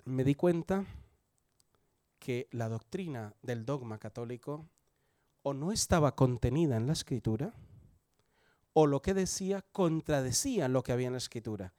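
A man's voice: mid-range voice, animated, normal speed, pauses often, dark tone, dry recording, studio quality. Colombian man in his forties giving testimony. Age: 40s. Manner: conversational, asks the audience questions. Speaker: church member giving testimony. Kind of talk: testimony in a church service.

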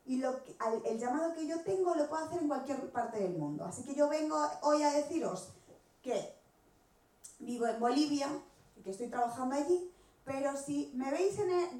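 A woman in her forties from Spain, with an accent from Spain, giving an animated personal testimony as a missionary speaking to a church gathering. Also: sits high. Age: 40s. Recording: echoey room, distant microphone.

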